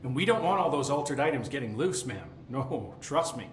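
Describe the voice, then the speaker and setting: A man's voice: mid-range voice, big pitch swings, brisk, lightly acted. An actor playing a middle-aged, nervous and deferential facility supervisor in a video game, hesitant and rambling as he talks. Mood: nervous, apologetic. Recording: roomy echo, faint background noise.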